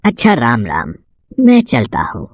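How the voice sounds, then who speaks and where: Lady doing a calm village temple priest for a kids cartoon, dropped low, gently reproachful. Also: measured pace, no echo, studio quality, heavily acted. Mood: mild reproach. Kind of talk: cartoon moral story dialogue.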